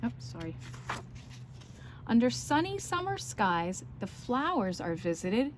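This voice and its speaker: A woman speaks slowly, as in a story time for young children. She explains things simply and checks in with questions as she reads and shows. There is some background noise in the recording. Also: fairly high pitch, sing-song. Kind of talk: read-aloud and show-and-tell for young children.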